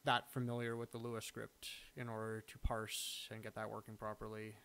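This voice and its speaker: A man giving a casual, unscripted screen-recording walkthrough of his own software, halting as he thinks aloud. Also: lowish voice, monotone, gravelly, clean tone. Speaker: software hobbyist demonstrating own add-on. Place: indoors, at a computer recording the screen.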